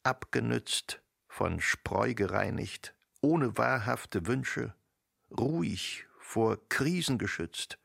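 Male narrator, low creaky voice, dry close sound, deliberate phrasing, emphatic pauses, dramatic literary reading. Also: expressive, a bit bright, dry recording.

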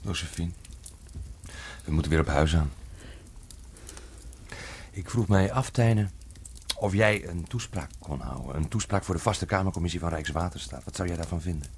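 An actor playing a calm, courteous husband in a radio drama. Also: male voice, low-pitched, normal speed, pauses often, a little hiss or hum, natural voice.

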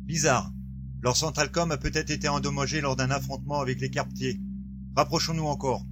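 A man's voice: mid-range voice, fairly even intonation, a little fast, background noise, close to an everyday voice. A man playing a dutiful, concerned male starship radio operator in a science-fiction audiobook. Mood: puzzled.

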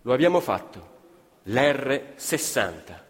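A man's voice: medium pitch, sing-song, slow. A man narrating scripted theatre as an actor, dramatic and emphatic in his storytelling. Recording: reverberant hall with live music. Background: live music with violin.

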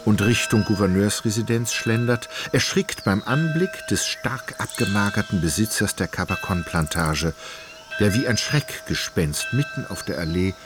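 A wry literary narrator, played by a man telling a radio drama. His voice is fairly low and measured, laced with irony, and prominent music runs beneath it. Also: expressive, natural voice. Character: ironic, literary male narrator. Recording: loud music under the narration.

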